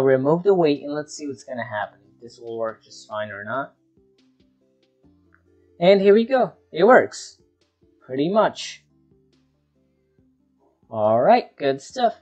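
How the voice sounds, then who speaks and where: A man giving an unscripted screen-share tutorial, talking through each step as he works and often repeating himself. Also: on the high side. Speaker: tutorial presenter.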